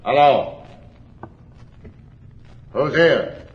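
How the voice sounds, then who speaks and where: An actor playing a gruff, menacing, evasive innkeeper in a 1940s radio drama. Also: male voice, medium pitch, lively intonation, drawn-out words. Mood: surly, defensive. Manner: gruff, threatening, colloquial. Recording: muffled old 1940s radio recording.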